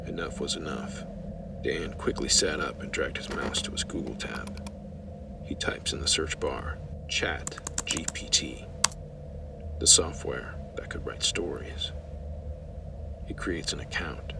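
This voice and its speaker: Very deep, gravelly man narrating horror. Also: distant mic, heavy background noise.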